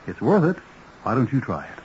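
A man announcing a 1970s radio advertisement with an emphatic sales-pitch delivery. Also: huge pitch swings, a little fast, a little mellow, slightly rough. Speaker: commercial announcer. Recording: dull old radio broadcast.

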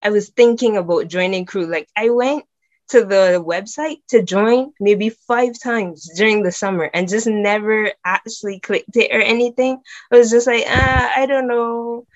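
A young woman from Barbados, chatting excitedly as a guest on a video call. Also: a little high, a little slow, lo-fi sound. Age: young adult. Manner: bubbly, excitable, laughs often. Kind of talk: casual video-call chat.

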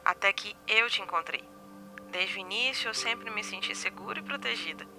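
An actress with a Brazilian accent playing a loving young bride sincerely reciting vows in an audio drama. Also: female voice, mid-range voice, normal speed, lightly acted. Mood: tender. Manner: tender, sincere. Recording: music behind the voice.

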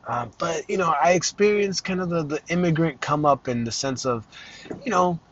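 A man's voice: fairly high pitch, expressive, normal speed, lo-fi sound. Rambling man hosting a solo podcast. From Arizona, United States. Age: 30s. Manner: rambling, many fillers and restarts, self-deprecating. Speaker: podcast host. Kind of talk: casual solo podcast monologue.

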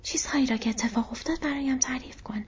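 A woman voicing a loyal, plain-spoken male hobbit servant in an audiobook, wry and curious in a hushed, breathy voice. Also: high voice, pitched above the performer's own voice, lightly acted. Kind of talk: audiobook character voice. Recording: dull, muffled lo-fi audio.

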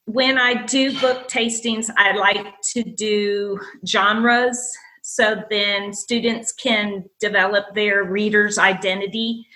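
A woman's voice: medium pitch, fairly even intonation, slow pace, pushed and bright, rough recording. A woman school librarian from North Carolina, answering interview questions in an upbeat, enthusiastic way. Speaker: school library media specialist.

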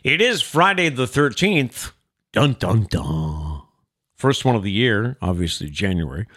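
A man's voice: fairly low pitch, big pitch swings, unhurried, fairly bright tone. A middle-aged man with a nasal, congested voice, hosting a casual morning show and reading the rundown. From South Coast Massachusetts. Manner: chatty, reads segment rundowns. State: congested from a cold. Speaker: show host. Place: small studio.

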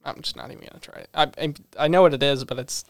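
A man's voice: on the high side, racing, no room sound. A man co-hosting a radio show, picking songs and chatting with laid-back dry humor. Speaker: radio co-host and song picker. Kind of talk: casual radio chat.

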